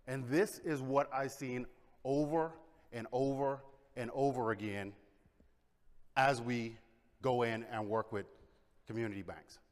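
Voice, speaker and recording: A middle-aged man from the Bahamas, giving an energetic conference presentation and asking the audience questions. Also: medium pitch, a little slow, pauses often. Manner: energetic, engages audience with questions and shows of hands. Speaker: conference speaker. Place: large conference hall. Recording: long hall reverb.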